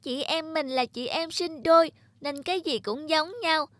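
A woman's voice: high-pitched, a little slow, fairly bright tone, no room sound. A woman voicing a sweet, affectionate seven-year-old girl in a cartoon. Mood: sweet, excited. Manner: childlike, affectionate. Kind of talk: cartoon acting.

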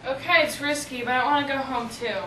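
A young teenage girl playing an anxious, halting reactor engineer in an amateur school drama. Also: sits high, steady tone, sharp tone. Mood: cautious, resigned. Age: young teen. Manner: halting, stammering. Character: anxious reactor engineer pushing through a risky procedure. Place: small office. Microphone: far camera. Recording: roomy, distant camera mic, faint noise.

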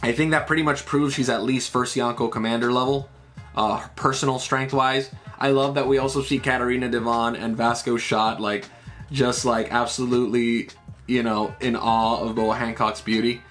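A man's voice: mid-range voice, little pitch movement, moderate pace, a bit bright, faint music behind. Chatty man in his thirties, breaking down a comic chapter. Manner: loose and chatty, many fillers and "right?" tags. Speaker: manga reviewer. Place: small room at home, indoors.

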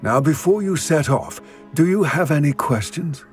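A man voicing a wary, elderly goblin trader in a video game, with measured, formal phrasing and a weathered voice. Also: a little high, lively intonation, soft background music, close to an everyday voice. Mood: guarded, measured. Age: older. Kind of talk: dramatic video game dialogue.

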